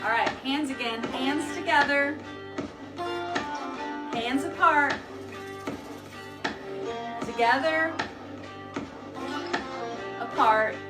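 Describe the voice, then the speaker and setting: Slow, high-voiced woman leading preschool storytime. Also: over music. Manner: singsong, encouraging, simple short phrases for small children. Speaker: library storytime leader for preschoolers. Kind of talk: children's storytime reading and guided action song.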